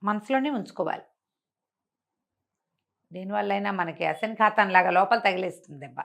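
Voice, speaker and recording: A woman's voice: mid-range voice, normal speed, gravelly, a bit bright. A woman giving a conversational moral talk, teaching emphatically and often posing rhetorical questions. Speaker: storyteller explaining moral teachings.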